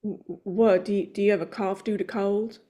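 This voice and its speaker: A woman reading the part of a simple, earnest, tender-hearted man at a table read, with a halting, stammering delivery. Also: medium pitch, steady tone, dark tone, lightly acted. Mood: worried, caring. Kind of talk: informal screenplay table read.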